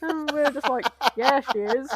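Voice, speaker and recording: A woman's voice: high-pitched, normal speed. A woman co-hosting a podcast, telling anecdotes casually in a giggly, sweary manner. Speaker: podcast co-host.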